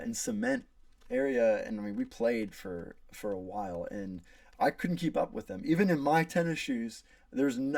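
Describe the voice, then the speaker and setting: Earnest young man with gravelly voice. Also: mid-range voice, a little mellow, no room sound, clean studio sound, far from the mic. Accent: American. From South Africa. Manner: earnest storytelling with frequent fillers and restarts. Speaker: student. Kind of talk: prepared class speech.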